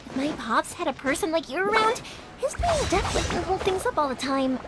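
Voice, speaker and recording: A woman's voice: extremely high pitch, very animated, fast talker, heavy background noise. An actress voicing a cheerful, kind-hearted young woman who makes fireworks in a video game, chatty and eager. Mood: concerned, thoughtful. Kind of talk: animated video game voice acting.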